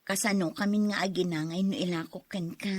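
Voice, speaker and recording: An actress playing a struggling younger sister who worries about money and pleads for help, in a drama. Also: female voice, on the low side, fairly even intonation, a little fast, no echo, lightly acted. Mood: worried. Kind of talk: radio drama acting.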